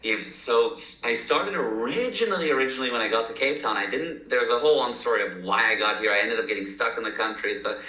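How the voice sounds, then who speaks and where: A man's voice: medium pitch, fast talker, hardly any pauses, bright, forceful tone. A man in his thirties with a mixed American and South African accent, telling his story as a guest in an upbeat, talkative way. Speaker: entrepreneur guest. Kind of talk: casual interview talk. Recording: echoey room.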